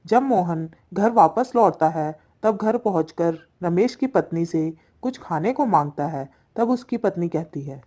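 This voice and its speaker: Low-pitched woman narrating a fable. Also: lively intonation, unhurried, few pauses, dark tone. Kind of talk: scripted storytelling narration for a cartoon fable.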